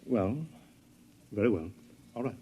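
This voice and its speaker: An actor playing a deferential, flustered palace official in a 1950s radio drama. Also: male voice, fairly low pitch, measured pace, very noisy, lightly acted. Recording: remastered 1950s radio broadcast.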